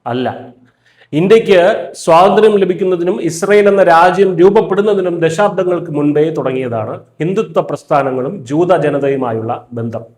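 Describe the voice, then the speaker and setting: A man's voice: fairly high pitch, echoey room. A man reading a scripted news voice-over in a firm, emphatic way. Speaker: news narrator.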